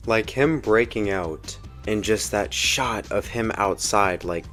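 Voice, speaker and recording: Low-voiced young man reviewing a film. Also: slow pace. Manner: slangy, informal, earnest fan enthusiasm. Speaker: video essay host reviewing a film. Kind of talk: casual opinion commentary. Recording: music behind the voice. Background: background music.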